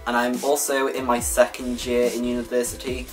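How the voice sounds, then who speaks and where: A young Welsh man in his late teens talks casually to his channel's viewers. He rambles and backtracks, filling gaps with "like" and "um", at an ordinary middle pitch. Quiet music plays faintly behind him. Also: completely flat delivery, normal speed, low-quality recording. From Wales. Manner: rambling, chatty, self-correcting with many fillers. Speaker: gaming YouTuber. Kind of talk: casual vlog talk to viewers.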